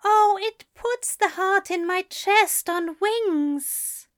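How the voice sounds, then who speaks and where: A woman doing a gentle adoring angel man. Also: extremely slow, full character voice. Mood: dreamy. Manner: high, smooth and warm, dreamy. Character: soft-spoken male angel, gentle and adoring.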